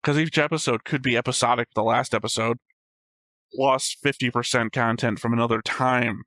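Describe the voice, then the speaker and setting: A man with a fairly flat, even delivery talks casually, like a show host thinking aloud. He rambles through explanations in an informal way, and the sound is close and dry. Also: medium pitch, moderate pace, no room sound. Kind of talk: casual chat, discussing an anime episode.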